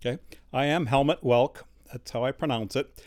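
A man in his sixties, a studio interview guest, answering in a measured way with many hesitant fillers. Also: medium pitch, darkish tone. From United States.

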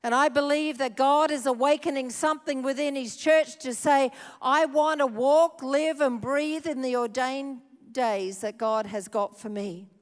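A woman's voice: sits high, unhurried, few pauses. A woman pastor preaching a sermon, emphatic, repeating her phrases for effect. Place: church hall. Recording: long hall reverb.

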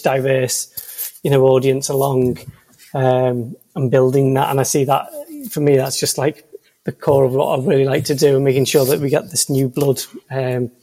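A man's voice: medium pitch, warm tone. A man with a Liverpool accent, a guest in a casual interview, talking hesitantly with many ums and restarts. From Liverpool, England. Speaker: podcast guest, senior consultant.

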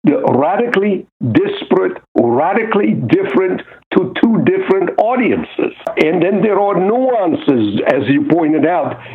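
A man's voice: sits high, very animated, a little slow, hardly any pauses. Man on a phone line. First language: Hungarian. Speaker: guest expert. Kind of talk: interview answer.

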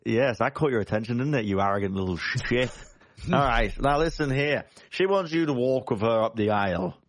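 A man's voice: mid-range voice, expressive, normal speed, no echo, lightly acted. A man playing a blustering boastful warrior. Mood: brash. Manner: blustering, stumbling over words. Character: brash boastful male warrior.

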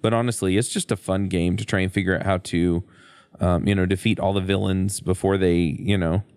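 A male podcast host with a fairly low voice talks casually and conversationally. His recording is very dry, with no room sound. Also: steady tone, fairly quick, darkish tone, clean tone, no room sound. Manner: conversational, informal.

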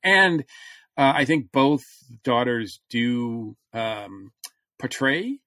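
A man co-hosting a podcast, chatting casually about fan news in a halting, hedging way. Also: mid-range voice, expressive, sharp tone, no room sound, clean studio sound. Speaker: podcast co-host.

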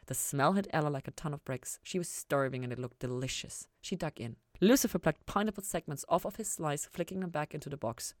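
A woman's voice: low-pitched, lively intonation, a little fast, few pauses, no echo, clean studio sound. A woman reading scripted fiction aloud as a narrator. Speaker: fan fiction reader, podcast host.

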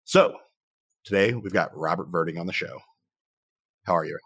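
Male podcast host, low-ish, playful, dry close sound. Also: normal speed, fairly bright tone, husky, dry recording. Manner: upbeat, playful, repeats words. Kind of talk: podcast intro and interview.

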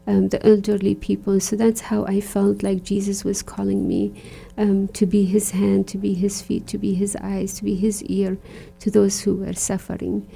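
Iraqi-born religious sister, woman, dark gravelly tone, earnest interview guest. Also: medium pitch, flat delivery, normal speed. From Iraq. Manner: reflective, earnest, many fillers like "you know". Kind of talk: conversational interview.

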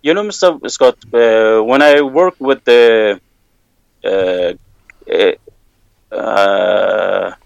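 A man with an Afghan accent talks very slowly, pausing often to find his English words. His voice is smooth and clear, recorded close and dry with no room sound. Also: fairly low pitch, no room sound, lo-fi sound. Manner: hesitant, searching for English words. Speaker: security worker, guest. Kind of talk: halting interview answers. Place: Kabul.

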